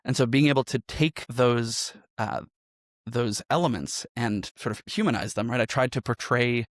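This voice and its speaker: A man's voice: medium pitch, moderate pace, no room sound. Man explaining in a dry-sounding interview. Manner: explanatory, often checking with "right?". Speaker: podcast guest, audio drama creator.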